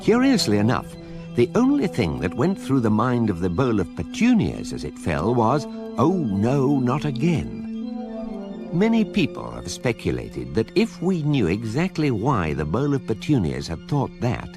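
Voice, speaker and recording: An actor playing a wry, unflappable guidebook narrator, measured and deadpan, in a radio comedy. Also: male voice, medium pitch. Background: music.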